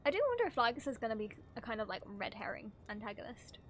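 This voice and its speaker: A woman's voice: fairly high pitch, sing-song, quick pace, fairly bright tone, a little hiss or hum. A woman live-streaming a game, giving casual, playful reactions and reading bits of dialogue aloud.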